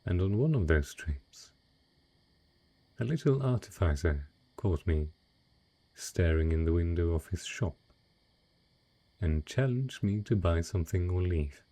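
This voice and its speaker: A man playing a wealthy, cunning dragon in humanoid disguise, speaking in a refined, eloquent and husky way in a romantic fantasy audio roleplay. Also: low voice, lively intonation, mellow, full character voice. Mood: wistful, suave. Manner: refined, eloquent, unhurried storytelling.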